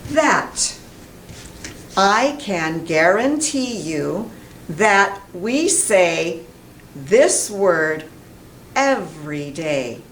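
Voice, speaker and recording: A middle-aged American woman giving a deliberate, emphatic pronunciation lesson, carefully enunciating sounds and words. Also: medium pitch. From United States. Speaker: English pronunciation teacher. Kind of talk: instructional pronunciation lesson to camera. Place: small classroom with whiteboard, indoors. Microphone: camera mic at a distance. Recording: roomy, distant camera mic, faint noise.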